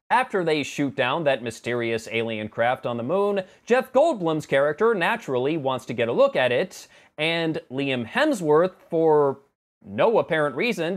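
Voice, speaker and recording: A man in his thirties giving a conversational movie review to camera in a sarcastic, exasperated manner. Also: on the high side. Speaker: film review vlogger. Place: small home office room indoors.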